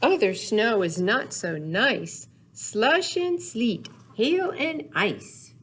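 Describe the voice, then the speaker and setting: A woman's voice: on the high side, sing-song, extremely slow, fairly bright tone, a little hiss or hum. A woman leading a storytime for young children, reading aloud and talking in simple, sing-song phrases. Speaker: children's library storytime host. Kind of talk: children's storytime with read-aloud, songs and questions.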